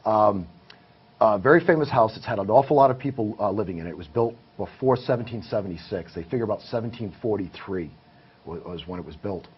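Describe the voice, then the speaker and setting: Low-voiced man telling ghost stories. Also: animated, quick pace, breathy edge. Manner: earnest, detailed storytelling. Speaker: paranormal investigator guest. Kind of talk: casual interview talk. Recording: muffled, with faint hiss.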